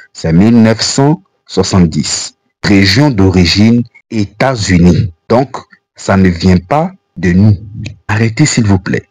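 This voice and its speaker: A man's voice: on the low side, darkish tone, slightly rough, no echo. An African man whose first language is not French, reading an earnest, admonishing voice-over commentary for a religious channel. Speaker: Islamic channel narrator.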